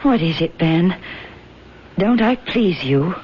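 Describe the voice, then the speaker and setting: An actress playing a devoted young wife in a radio drama, earnest, emotive and persuasive. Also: female voice, lowish voice, animated, slow, slight background noise. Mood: tender, pleading. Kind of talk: old-time radio drama acting. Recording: dull vintage radio recording.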